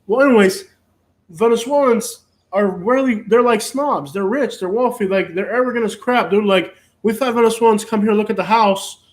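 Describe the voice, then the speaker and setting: High-voiced Cuban-born man chatting. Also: normal speed. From Cuba. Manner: rambling, frequent restarts and fillers. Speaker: host. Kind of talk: casual live chat.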